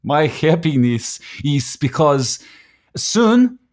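A man acting in a radio play as a proud, hot-tempered Slovak immigrant husband, with a Slovak accent and halting English. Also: fairly high pitch, very animated, darkish tone, heavily acted. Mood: hopeful, earnest. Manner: halting English, slow and deliberate.

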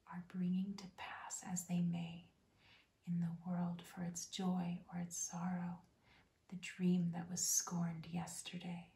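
A woman whispers a rhyming poem softly, in an unvoiced hush, at a slow and unhurried pace. Her tone is dark and mellow, with a gravelly edge to it. The recording sits in a roomy, echoey space. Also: on the low side. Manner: soft unvoiced whisper throughout. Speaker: host. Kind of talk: whispered ASMR poetry reading.

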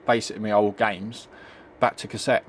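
Man, middle-aged, lowish pitch, hesitant, rambling, casual homemade demo, talking behind a handheld camera. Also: little pitch movement, brisk, slight background noise. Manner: rambling, hesitant, repeats himself with trailing tags like "I am", "it is". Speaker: hobbyist demonstrating retro computing. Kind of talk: casual unscripted tutorial. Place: indoors at a home computer desk. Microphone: handheld camera's built-in microphone.

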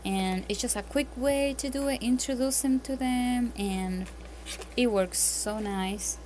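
A woman with a strong Spanish accent chats through homeschooling tips at her table, earnest and full of ums and restarts. Her phone's mic picks her up over a steady bed of clear background noise. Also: on the high side, measured pace, rough recording. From Spanish-speaking country. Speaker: homeschooling parent. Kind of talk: casual tips vlog. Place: home, at a table, indoors.

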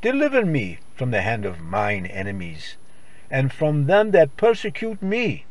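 A man's voice: mid-range voice, huge pitch swings, unhurried, dry recording. A man preacher reciting a psalm in a solemn, declamatory old-time gospel radio style. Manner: solemn, declamatory, archaic scriptural delivery.

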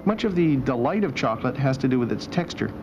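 A man narrating a scripted documentary as host, with a wry, deadpan sense of humor. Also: medium pitch, fast talker, over music. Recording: dull old video soundtrack with machinery noise.

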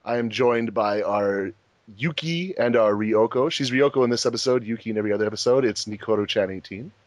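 A man's voice: fairly low pitch, brisk, dry recording, dull audio. A man hosting a casual group commentary track, talking informally as the director of a fan project. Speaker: host, fandub director.

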